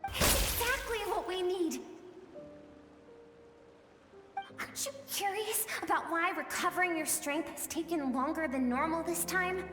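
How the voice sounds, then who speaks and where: A woman voicing a gentle, wistful young girl in a video game, speaking in a soft, earnest, soothing way. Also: very high-pitched, moderate pace. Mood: earnest, insistent. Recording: echoey long reverb, music behind.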